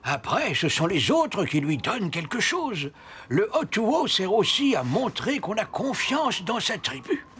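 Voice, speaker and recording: Patient old elder, swooping intonation. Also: male voice, sits high, big pitch swings, a little slow, a bit bright, slight background noise, full character voice. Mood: patient, explaining. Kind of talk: French cartoon dubbing.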